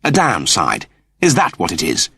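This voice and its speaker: A man's voice: sits low, wildly swooping pitch, moderate pace, sharp tone, breathy edge, no room sound, clean studio sound, heavily acted. A man voicing an irritable, cowardly, sarcastic man in an audiobook story, snippy and impatient. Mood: sceptical.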